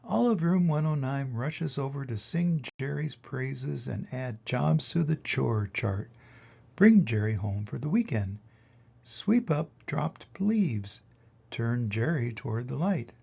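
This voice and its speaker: An older man reading a children's picture book aloud in an expressive way, with dramatic pauses and emphasis. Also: medium pitch, vocal fry, slightly rough, dull audio. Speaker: reader of children's picture books. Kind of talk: animated storybook read-aloud.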